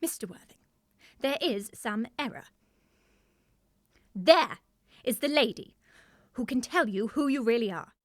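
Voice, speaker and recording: An actress as a prim, fussy governess in a comic radio play. Her high voice swings widely as she pronounces every formal phrase with flustered melodrama. The sound is close and dry. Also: expressive, moderate pace, no echo, natural voice. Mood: shocked, indignant. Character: prim, flustered, unmarried older governess, confessing precisely. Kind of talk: radio play acting, period comedy.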